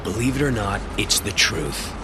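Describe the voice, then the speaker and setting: An actor playing a brooding young antihero in a game, gruff and terse, narrating in a hard-boiled, cynical way. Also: male voice, lowish voice, expressive, moderate pace, natural voice. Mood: defensive. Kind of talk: scripted game narration and dialogue. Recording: loud game music behind the voice.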